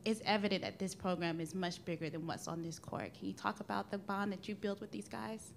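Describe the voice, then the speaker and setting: A woman's voice: mid-range voice, steady tone, brisk, gravelly, slight background noise. A woman reporter putting a question at a press conference in a hesitant, conversational way.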